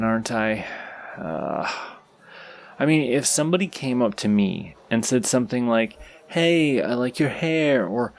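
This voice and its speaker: A man playing a shy, anxious, sweet young stranger in an audio roleplay, talking hesitantly and self-consciously in a creaky voice straight to the listener. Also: medium pitch. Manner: hesitant, self-conscious, rambling, halting speech.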